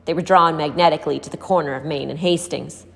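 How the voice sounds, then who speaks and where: A woman's voice: deep voice, steady tone, fast talker, gravelly, a bit bright. A woman reading a story aloud as scripted narration. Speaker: story reader. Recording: hall-like reverb.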